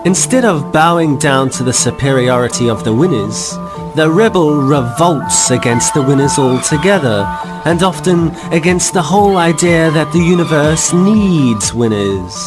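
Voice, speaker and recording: A man giving wry, measured scripted narration for an audio drama. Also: fairly high pitch, expressive, music in the background.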